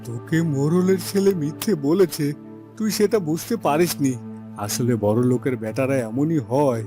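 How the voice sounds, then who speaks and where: A man's voice: fairly high pitch, normal speed, natural voice. Man acting a humble, pleading poor farmer. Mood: bitter. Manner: deferential, pleading. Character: humble, submissive poor farmer, father of a grown daughter. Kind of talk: melodramatic cartoon voice acting. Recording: background music under the voice.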